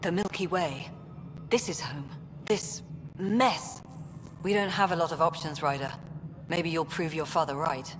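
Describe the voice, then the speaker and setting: A sardonic director in an echoing hall. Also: female voice, deep voice, unhurried, long pauses, noisy background. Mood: angry, bitter. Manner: sardonic, terse. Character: jaded, sardonic female colonial director, blunt and impatient. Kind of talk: video game dialogue acting.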